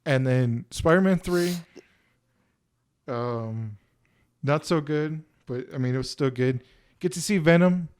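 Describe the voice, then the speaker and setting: A man's voice: on the high side, slow, long pauses, darkish tone, smooth. A man in his twenties co-hosting a podcast, rambling casually and filling his talk with "like" and "you know". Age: 20s. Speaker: podcast co-host. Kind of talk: casual film review chat.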